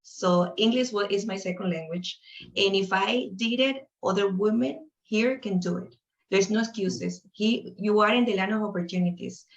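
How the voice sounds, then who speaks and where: A woman's voice: mid-range voice, normal speed, muffled recording. A woman from Colombia with a Colombian Spanish accent, speaking English as her second language on a panel, emphatic and repeating words as she builds her point. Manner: emphatic, repeats words while forming thoughts. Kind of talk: panel discussion.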